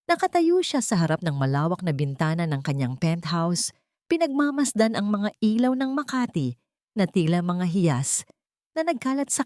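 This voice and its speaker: A woman with a Filipino accent reads a dramatic story as an audiobook narrator. Her voice sits a little low, and the recording is dry, with no room around it. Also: a little mellow, no echo.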